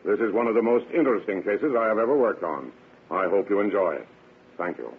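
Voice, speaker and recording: A man's voice: fairly low pitch, expressive, a little fast, heavy background noise. A man speaking as a sheriff, giving a stiff, formal scripted radio address to introduce a case. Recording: muffled, phone-like vintage radio broadcast.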